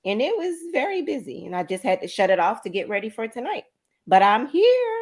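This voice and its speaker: A woman's voice: fairly high pitch, sing-song, a little fast. A woman co-hosting a talk show, chatting casually and animatedly about her day. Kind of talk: casual chat.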